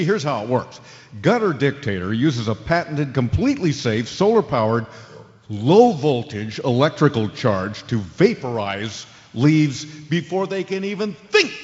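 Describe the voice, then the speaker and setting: An American man playing a cocky, impatient sports talk radio host in an audio drama, smug and showy. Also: medium pitch. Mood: salesman patter. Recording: dull lo-fi audio, long reverb, music.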